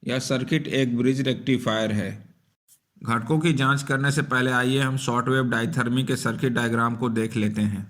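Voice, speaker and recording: A man's voice: medium pitch, steady tone, moderate pace, fairly bright tone. A man presenting a repair tutorial in scripted, methodical instructional narration. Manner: methodical, step-by-step explaining.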